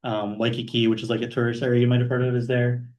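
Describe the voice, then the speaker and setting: A young man from Hawaii giving an informal talk about his career as a guest speaker, relaxed, with many fillers. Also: medium pitch, fast talker. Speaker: guest speaker, math postdoc.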